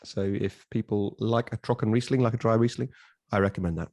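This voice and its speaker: A man with a fairly low voice co-hosts a casual podcast. His wording is erudite, alternately halting and emphatic, and the recording is dry and close. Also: a little mellow, no room sound. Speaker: podcast co-host.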